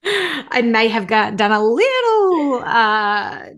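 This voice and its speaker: A woman hosting a podcast in casual chat, with an animated, self-deprecating manner. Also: on the high side, slow. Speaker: podcast host.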